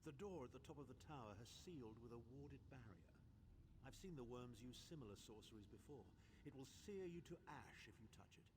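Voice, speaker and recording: A man's voice: medium pitch, animated, fairly quick, natural voice. Condescending older mage, played by a man, noisy backdrop. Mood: dry, instructive. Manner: dry, articulate, condescending. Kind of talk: video game character acting.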